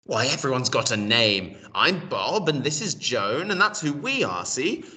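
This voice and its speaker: A man voicing a kindly, homely old husband in a storybook, patient and curious, gently questioning and explaining. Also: mid-range voice, very animated, unhurried, far from the mic, full character voice.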